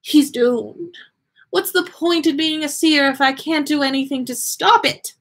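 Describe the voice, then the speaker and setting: A woman's voice: high-pitched, measured pace, a bit bright, voice pushed up. A woman voicing a dramatic, anxious eccentric seer in an overwrought, theatrical way for a story reading. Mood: despairing.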